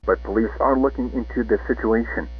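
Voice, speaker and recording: A man's voice: medium pitch, a little fast. Tinny synthetic male weather alert announcer. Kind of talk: formal scripted weather alert reading. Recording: tinny narrow radio sound, music behind.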